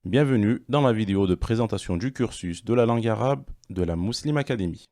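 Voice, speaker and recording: A man presenting an online language course, reading questions and answers in a measured, explanatory way. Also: on the low side, darkish tone.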